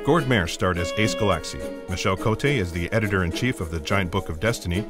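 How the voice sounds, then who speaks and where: A man's voice: sits low, fast talker. A man announcing the credits of an audio drama in a scripted read, with music behind.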